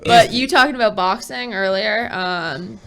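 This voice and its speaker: A young woman as a podcast guest, talking in casual chat with many pauses and fillers. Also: mid-range voice, creaky, a bit bright, a little hiss or hum. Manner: hesitant, many ums and likes.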